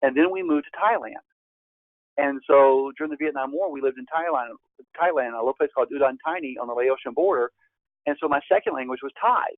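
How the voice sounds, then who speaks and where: A man in his fifties presents a real estate course in an emphatic teaching manner, his pitch jumping up on key points. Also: fairly quick, dry recording. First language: Spanish. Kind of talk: webinar presentation. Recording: tinny phone-like line, dry.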